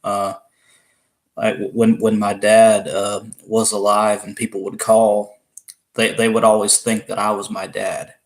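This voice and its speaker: A man in his twenties from Alabama with a Southern accent and a deep voice, chatting casually with viewers on a live stream. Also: little pitch movement, measured pace. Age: 20s. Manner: rambling, chatty, laughs often, restarts. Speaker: livestream host.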